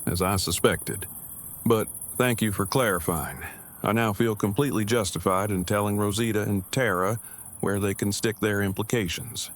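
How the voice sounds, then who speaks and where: A man's voice: lowish voice, normal speed, lightly acted. A man playing an awkward, earnest survivor in an audio drama, speaking in stiffly formal, long-winded, precise phrases. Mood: relieved, formal. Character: awkward, verbose, earnest male survivor and loyal friend. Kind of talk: fan audio drama acting.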